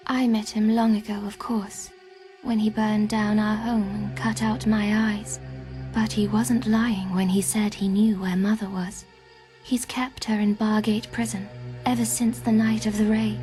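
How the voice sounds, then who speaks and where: Game seer, female actor: young, breathy, fairly high, flat intonation, foreboding intensity. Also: natural voice. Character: hushed, grave young seer sister, urgent. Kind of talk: video game cutscene acting.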